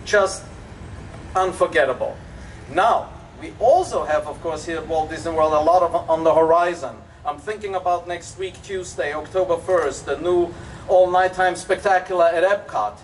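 A man's voice: fairly high pitch, normal speed. A man from Austria with an Austrian German accent, giving an enthusiastic public dedication speech as a resort executive, with frequent fillers. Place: outdoors at a public event. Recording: distant outdoor recording with faint background noise.

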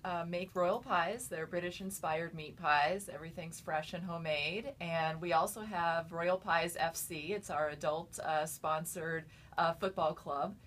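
A woman's voice: lowish voice, fairly even intonation, a little slow, few pauses, a bit bright. A young American woman with hesitant delivery and nervous chuckles, talking casually as a bakery owner promoting her business in a radio interview. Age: young adult. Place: radio studio. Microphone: studio boom microphone with headphones.

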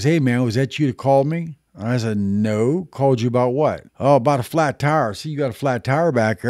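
A man's voice: mid-range voice, darkish tone, no room sound. Folksy older man, dry close sound. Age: 60s. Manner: folksy trucker slang, plainspoken. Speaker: video host, veteran truck driver. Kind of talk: casual storytelling and advice to camera. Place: small indoor home studio.

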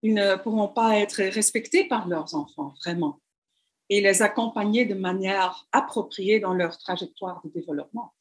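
A woman's voice: mid-range voice, normal speed. A woman giving a lecture over slides by webcam, speaking French as a second language, with frequent fillers and restarts. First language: not French. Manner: hesitant, many fillers and restarts. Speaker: university lecturer.

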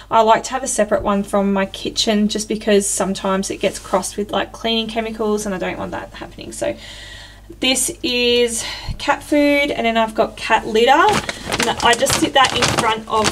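A woman, giving a casual, candid tour of her small laundry room as a home decor vlogger, filmed on a handheld camera. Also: medium pitch. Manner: chatty, candid, self-deprecating. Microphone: handheld camera at close range. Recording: echoey small room, faint room hum.

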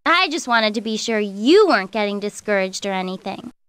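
A woman playing a spirited, determined young girl adventurer in an animated game. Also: medium pitch, a little fast, smooth, no room sound, studio quality, lightly acted. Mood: concerned. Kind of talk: animated cartoon game dialogue.

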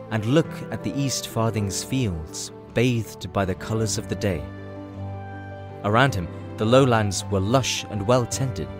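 A man narrating a scripted fantasy story as a storybook narrator. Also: lowish voice.

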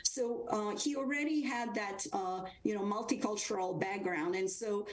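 A woman's voice: mid-range voice, normal speed, low-quality recording. A woman originally from Serbia, a guest telling her story over a video call, hesitant with many fillers and restarts. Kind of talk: remote interview storytelling. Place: wood-paneled room, indoors.

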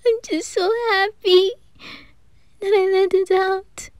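A shy, lovestruck boy played by a woman speaks in a squeaky, childlike voice. He is timid and halting, taking his time in a romantic audio roleplay, recorded close and dry. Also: flat delivery, dry recording. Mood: tearful affection. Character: shy, lovestruck teenage boy singer, small and sweet.

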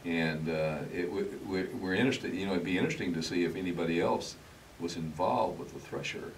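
A man in his seventies hosts a conversational interview in a low voice. He is halting and often repeats his phrases. He sounds slightly away from the microphone, with faint noise behind him. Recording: slightly distant mic, faint background noise.

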